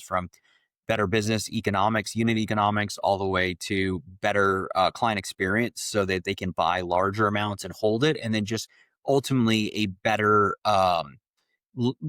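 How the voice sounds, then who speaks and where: A man's voice: on the low side, fairly bright tone, no room sound, clean studio sound. A man hosting a podcast as an investor, explaining at length in a fluent way with some fillers. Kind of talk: casual interview talk.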